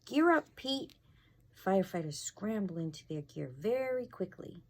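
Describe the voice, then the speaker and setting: A woman's voice: mid-range voice, very animated, measured pace. A woman fire inspector reading a storybook aloud to children, expressively, with casual asides and questions to her young listeners.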